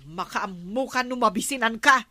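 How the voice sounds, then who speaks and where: A long-suffering village captain's wife in a comic radio drama. Her Ilocano-accented voice is fairly high and sharp-edged, forever scolding and fed up. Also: normal speed. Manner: scolding, exasperated. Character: exasperated nagging wife of the lazy village captain. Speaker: radio comedy drama actress. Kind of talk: Filipino radio comedy drama acting.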